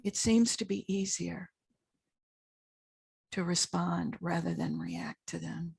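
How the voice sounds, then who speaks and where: Female meditation guide: very slow, measured delivery with long pauses, heavy vocal fry. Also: darkish tone, dry recording. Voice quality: husky, gravelly low voice. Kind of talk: guided meditation, unscripted spiritual talk.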